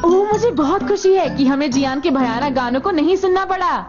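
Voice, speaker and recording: A woman's voice: high-pitched, animated, brisk. A woman voicing a timid little schoolboy in a Hindi cartoon dub, with a thin, whiny, eager delivery. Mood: eager, curious. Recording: dull TV cartoon audio with music.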